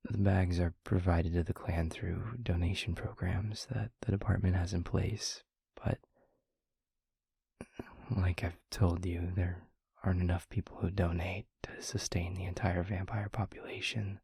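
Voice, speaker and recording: A man acts out a protective vampire boyfriend in an intimate audio roleplay. His voice is low and very breathy, with gravelly creak at the edges. He speaks softly and reassuringly, leaving long, hesitant pauses. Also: level tone, no room sound, heavily acted. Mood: gently explaining. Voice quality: breathy, husky, half-whispered. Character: gentle, protective young male vampire maker and boyfriend.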